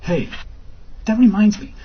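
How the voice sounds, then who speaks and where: An actor playing an excitable, hungover man showing off an app in an amateur audio drama. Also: high voice, a little slow, breathy edge. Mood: amused. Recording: muffled recording with faint background noise.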